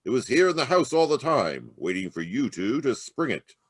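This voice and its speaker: A man's voice: mid-range voice, very animated, moderate pace, breathy edge, no room sound, clean studio sound. A man playing a firm, shrewd police inspector in old-time radio mystery style. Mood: accusing. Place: live video call at home. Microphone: video call.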